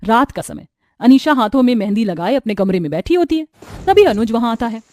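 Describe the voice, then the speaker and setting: High-pitched woman story narrator. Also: animated, brisk, dark tone, no room sound, studio quality. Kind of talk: scripted narration of a family storybook tale.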